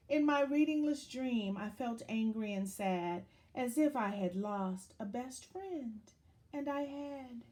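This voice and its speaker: Female children's story reader: unhurried, highly expressive, dramatic read-aloud delivery with wide pitch movement. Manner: dramatic, deliberate storytelling with questions to young listeners.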